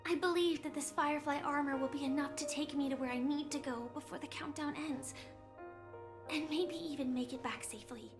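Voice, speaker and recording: A woman playing a gentle, resolute young warrior in an anime game, speaking earnestly and solemnly in a measured way. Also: high-pitched, over music, lightly acted.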